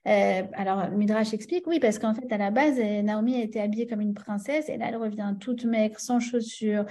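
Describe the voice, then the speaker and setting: A woman's voice: medium pitch, brisk, mellow. A woman with a French accent teaching a religious class, chatty and full of fillers and restarts, often checking in with her listeners. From France.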